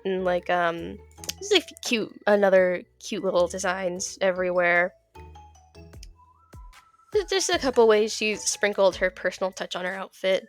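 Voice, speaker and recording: A woman's voice: medium pitch, lively intonation, a little slow, soft background music. A young woman narrating a casual art vlog, laughing often and tripping over her words while her voice is still off from being sick. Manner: giggly, stumbles and repeats words. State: recovering from illness, voice a bit off. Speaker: video creator.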